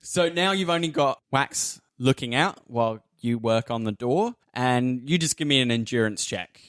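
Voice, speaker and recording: A man's voice: medium pitch, moderate pace, a bit bright, clear, resonant tone, no echo, clean studio sound. A man narrating an improvised tabletop game as game master in a casual, jokey way.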